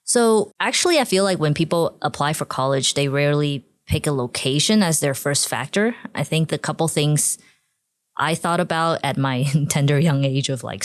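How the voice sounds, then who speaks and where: Low-voiced chatty woman from Taiwan. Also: no room sound, studio quality. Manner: chatty, frequent "like" fillers, laughs easily. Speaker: guest. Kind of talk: casual podcast interview.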